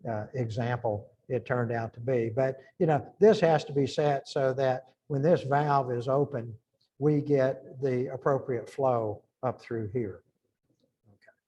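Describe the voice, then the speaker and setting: A man's voice: mid-range voice, fairly even intonation, unhurried, warm tone. A middle-aged man giving an informal engineering lecture, thinking aloud and restarting phrases as he works through numbers.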